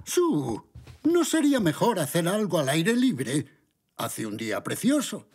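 A man voicing an older grandfather zebra in a children's cartoon dub, gentle, patient and a little hesitant. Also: fairly high pitch, huge pitch swings, a little slow, husky, full character voice. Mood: coaxing.

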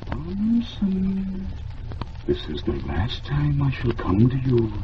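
An actor playing a dead painter's solemn, commanding ghost in a theatrical vintage radio drama style. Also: male voice, medium pitch, wildly swooping pitch, faint music behind. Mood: eerie farewell. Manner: slow, drawn-out, theatrical delivery. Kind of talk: 1950s radio acting. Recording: noisy, dull vintage radio recording.

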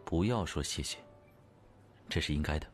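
An actor playing a composed company boss in an audio drama, speaking in a measured, restrained and terse way. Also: male voice, rumbling low, soft background music. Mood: calm, tender. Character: composed, devoted male company boss in love.

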